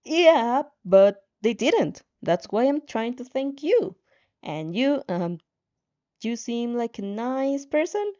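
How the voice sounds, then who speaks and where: Woman acting a flustered, pleading young protagonist, high-pitched. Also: sing-song, slow pace, mellow, lo-fi sound. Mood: sheepish insistence. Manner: stammering, pleading, insistent.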